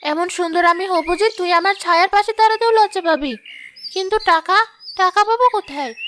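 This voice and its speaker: A woman's voice: extremely high pitch, fast talker, fairly bright tone, a little hiss or hum. A woman voicing a vain, melodramatic young village wife in a cartoon moral story, complaining in a whiny, dramatic way. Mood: vengeful, envious.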